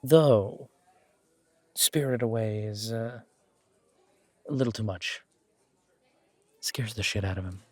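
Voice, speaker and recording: Mafia boss on a date, male actor: husky, dry close sound, intimidating reputation, playful and affectionate delivery, casual teasing, romantic audio drama. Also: lowish voice, slow pace, pauses often, no echo. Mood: relaxed, teasing. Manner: teasing, casual, mock-indignant, swearing.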